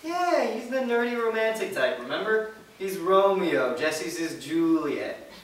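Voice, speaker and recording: A man playing a relaxed, confident teenage boyfriend in a staged script reading. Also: sits high, a little slow. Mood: reassuring. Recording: very echoey room, distant mic, some noise.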